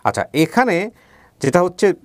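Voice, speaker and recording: A man's voice: sits high, big pitch swings, normal speed. A man with a Bangladeshi accent, a show host interviewing people and confirming details with them.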